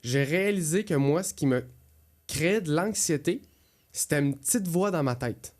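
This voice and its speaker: A man's voice: on the high side, lively intonation, normal speed. Candid man with a Quebec French accent. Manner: candid, colloquial, acts out inner thoughts. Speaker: podcast co-host. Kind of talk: casual conversational personal testimony.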